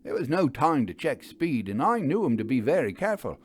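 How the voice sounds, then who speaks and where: A man's voice: medium pitch, very animated, fairly quick, slight background noise, voice pushed up, lightly acted. A man voicing a distraught, deferential working train driver in a dramatic story reading, urgent and colloquial. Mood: anxious, explaining.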